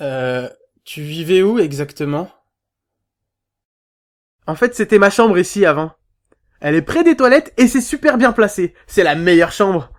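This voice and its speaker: A man's voice: high voice, very animated, a little slow, long pauses. A man playing a boastful, chatty dormitory chief with a casual, self-satisfied manner in an anime fan dub. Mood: chatty, uneasy.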